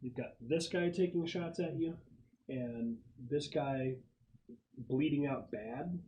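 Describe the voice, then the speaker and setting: A man's voice: medium pitch, slow, warm tone, a little hiss or hum, rough recording. A man acting as game master, casually narrating tabletop combat in vivid, slangy language.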